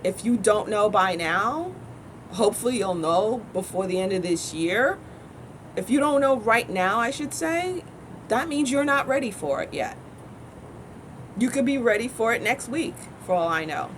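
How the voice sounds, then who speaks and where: A woman's voice: medium pitch, slight background noise, rough recording. Earnest rambling woman in a car. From United States. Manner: rambling, self-correcting, earnest conviction. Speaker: spiritual teacher. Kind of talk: spiritual vlog monologue.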